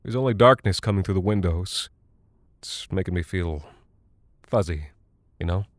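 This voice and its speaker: Weary American military pilot , played by a male actor. Lowish, dry close sound, folksy and rattled, halting confessional monologue for audio drama. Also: dry recording, close to an everyday voice. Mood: wistful, drowsy. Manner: halting, confiding, colloquial. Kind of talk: first-person audio drama monologue, audio log.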